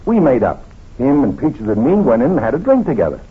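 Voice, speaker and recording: Slangy hard-drinking vaudevillian, hoarse and animated, offhand, vintage radio drama. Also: male voice, medium pitch, a little fast, exaggerated voice. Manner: slangy, colloquial. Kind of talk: 1940s radio acting. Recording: tinny, muffled vintage radio recording.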